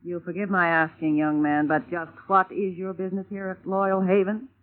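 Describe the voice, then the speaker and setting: A fussy, prim old housekeeper woman, played by a man, with a high, hesitant voice full of stammers and throat-clearing. The sound is a tinny, phone-thin old radio broadcast. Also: flat delivery, normal speed, natural voice. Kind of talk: 1940s radio acting.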